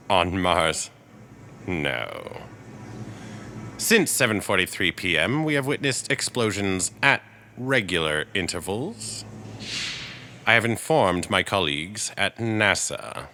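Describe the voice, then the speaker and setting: A man plays a scholarly, reserved astronomy professor in a radio drama. His low voice swings widely in pitch, with deliberate, formal and halting phrasing. Faint ambient noise sits behind him. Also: big pitch swings, sharp tone, exaggerated voice. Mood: guarded, thoughtful. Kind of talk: radio drama acting in 1938 broadcast style.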